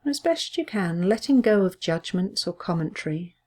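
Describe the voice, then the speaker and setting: Low-pitched woman guiding a gentle meditation. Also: big pitch swings. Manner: gentle, measured, soothing instruction with pauses. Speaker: mindfulness meditation teacher. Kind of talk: guided body scan meditation.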